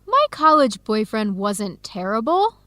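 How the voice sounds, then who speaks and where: An actress playing an earnest young American woman researcher in a radio drama, with clear, expressive, carefully enunciated speech. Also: on the high side, measured pace, no echo, heavily acted. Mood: defensive, exasperated. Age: young adult. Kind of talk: scripted radio drama dialogue.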